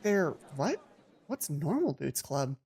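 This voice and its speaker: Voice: medium pitch, big pitch swings, slow, darkish tone. A let's player voicing a curious, naive new schoolgirl in a visual novel, with a plain, questioning delivery. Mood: puzzled.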